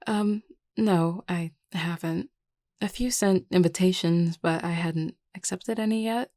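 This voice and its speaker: A woman voicing an anxious, self-doubting young vampire leader in an audio roleplay, stammering hesitantly and often dropping to a breathy murmur. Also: on the low side, unhurried, warm tone, no room sound, lightly acted. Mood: shy, nervous. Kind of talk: intimate audio roleplay acting.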